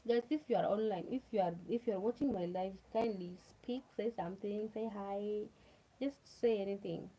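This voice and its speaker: A woman's voice: medium pitch, normal speed, mellow, rough recording. A Kenyan woman whose first language is Luo, chatting casually to viewers on a livestream, repeating herself and speaking to them directly. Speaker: livestream host.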